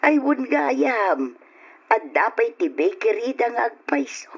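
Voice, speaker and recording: A woman's voice: fairly high pitch, sing-song, slow, slightly rough, exaggerated voice. An actress playing a middle-aged wife gossiping with her husband, on a lo-fi old radio drama recording. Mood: gossipy.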